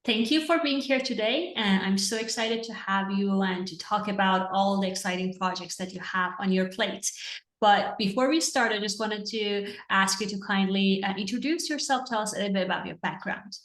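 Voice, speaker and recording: A woman's voice: mid-range voice, moderate pace, a bit bright. A woman from Iran hosting an interview over a video call, effusive and enthusiastic, laughing often. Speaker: interview host. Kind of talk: conversational interview. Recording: roomy, distant from the mic.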